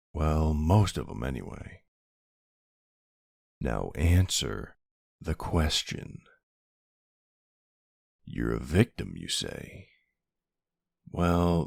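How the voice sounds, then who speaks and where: Guy playing an ancient vampire hunter, super low voice, slow talker, gruff n wry, asmr roleplay, really dry close sound. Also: a little mellow, no echo, heavily acted. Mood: stern, wary. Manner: brusque, wry, slow interrogating delivery with pauses. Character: centuries-old male vampire hunter, gruff, suspicious, wry. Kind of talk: ASMR roleplay, intimate one-sided dialogue.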